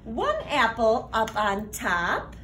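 High, bright, very animated woman reading aloud. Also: slight background noise. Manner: expressive, sing-song, deliberate reading. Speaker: teacher reading aloud to children. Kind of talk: animated children's picture-book read-aloud. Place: classroom, indoors.

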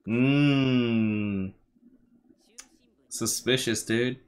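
A young man giving casual, slangy reaction commentary, musing aloud in a laid-back, tired, low-energy way. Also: extremely slow, clean tone. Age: young adult. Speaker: anime reaction host. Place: small bedroom, indoors.

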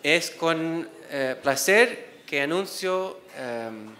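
A man's voice: on the high side, lively intonation, extremely slow, pushed and bright. A man in his forties who is not a native speaker, reading out award nominees from a panel table, hesitant with many fillers and restarts. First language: not Spanish. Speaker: award presenter. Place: university auditorium, seated at a panel table. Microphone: desk microphone on a stand.

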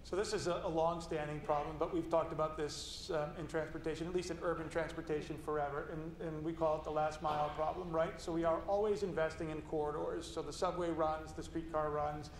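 A man's voice: on the high side, level tone, brisk, hardly any pauses, slight background noise. A middle-aged man speaking earnestly and emphatically as a panelist in a panel discussion on a conference stage. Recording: roomy hall from far off.

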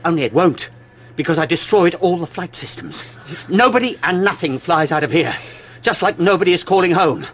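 An actor playing a feverish research scientist half-crazed with dread, halting and intense, in a radio drama. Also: male voice, on the high side, expressive, a bit bright. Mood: manic despair.

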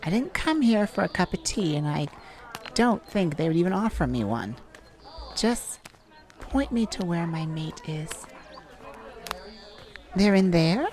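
A woman playing a weary, defiant mage in a dramatic audio roleplay, speaking in a halting, wry way. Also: lowish voice, very animated, a little mellow. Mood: firm, curt. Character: weary, defiant human mage longing for captured mate.

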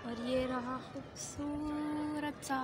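High, slow-paced young woman giving a walking tour on a phone camera, crowd and wind-like noise around. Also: fairly even intonation. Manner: chatty, keeps adding "theek hai" while showing around. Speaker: vlogger. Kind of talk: casual walking tour narration. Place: outdoors at a large mosque courtyard. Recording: outdoor phone recording with crowd and ambient noise.

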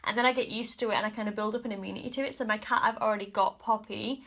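A woman's voice: mid-range voice, fairly even intonation, racing, a bit bright. A woman in her thirties with an Australian accent, sounding stuffy and congested, chatting frankly through a makeup review at her home vanity. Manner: chatty, frank, often hedging with kind of and like. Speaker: beauty vlogger. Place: home bedroom vanity with mirror lights, indoors.

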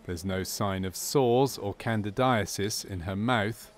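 A man narrating a medical training film from a script, in a measured, clinical and factual way. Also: fairly low pitch. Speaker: documentary narrator.

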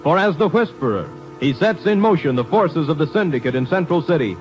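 A man's voice: on the high side, animated, fairly quick, a little mellow, muffled recording. An American man announcing and narrating a 1950s radio drama in a dramatic scripted style. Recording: old radio broadcast recording. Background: music.

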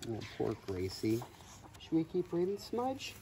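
A man in his forties reads a picture book aloud with lively, swooping pitch. His voice is mellow and expressive, playful as a teacher talking to small children. It is recorded outdoors, with faint background noise. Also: measured pace. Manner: expressive, playful asides to a goat. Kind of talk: animated read-aloud storytelling for children. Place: outdoors on grass in a farm field.

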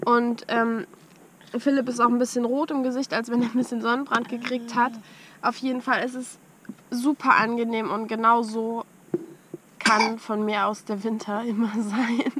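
A woman's voice: fairly high pitch, flat delivery, moderate pace. A woman, chatting casually to a camera inside a small camper van. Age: 20s. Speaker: travel vlogger. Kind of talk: casual vlog chat to camera. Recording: very noisy camera audio with clicks.

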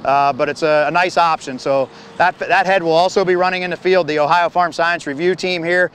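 A man's voice: a little high, steady tone, fairly quick, a bit bright, a little hiss or hum. A man in his thirties explaining a machine as a company representative, speaking plainly with frequent fillers and restarts, recorded outdoors on a lapel mic. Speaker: farm equipment company representative. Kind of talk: product walkaround demo. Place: outdoors on a grassy field beside a combine.

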